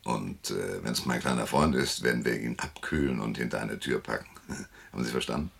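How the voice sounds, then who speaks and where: An actor playing a world-weary, laconic private detective who narrates in a dry, sardonic, gravelly way in a German noir radio drama. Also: male voice, a bass voice, fairly quick, fairly bright tone, dry recording, exaggerated voice. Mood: curt, commanding.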